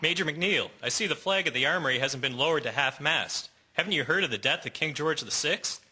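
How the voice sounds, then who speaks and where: A man playing a persistent, dutiful small-town newspaper reporter in a radio drama, brisk and earnest. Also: fairly high pitch, animated, pushed and bright, slight background noise. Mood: insistent. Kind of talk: amateur radio drama acting.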